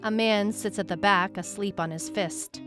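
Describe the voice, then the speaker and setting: A woman playing a brooding detective who narrates a supernatural case in a measured, deliberate way, as in a scripted audio drama. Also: mid-range voice, fairly even intonation, lightly acted. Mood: wry, subdued. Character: brooding female detective recounting a supernatural missing-person case. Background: music.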